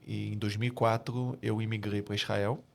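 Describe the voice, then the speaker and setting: A man in his forties from Rio de Janeiro with a Carioca accent, answering as a guest in a podcast interview, earnest and measured with frequent hesitations. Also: lowish voice, flat delivery, vocal fry. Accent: Rio de Janeiro Brazilian Portuguese. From Brazil (Rio de Janeiro). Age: 40s. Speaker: guest, military spokesperson.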